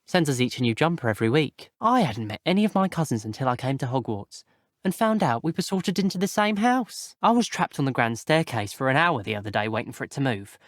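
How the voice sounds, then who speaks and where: A man's voice: medium pitch, sing-song, a little fast, dry recording, studio quality, lightly acted. A man voicing an earnest, chatty teenage wizard schoolboy in a video game, with a British accent and a polite, wry, old-fashioned turn of phrase. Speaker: voice actor. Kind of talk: scripted video game character voice clips.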